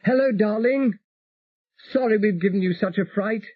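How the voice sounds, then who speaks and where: A man voicing a gentle, brave young woman speaking softly and affectionately over a radio. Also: high voice, normal speed, phone-quality sound, pitched above the performer's own voice. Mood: tender. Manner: soft and affectionate.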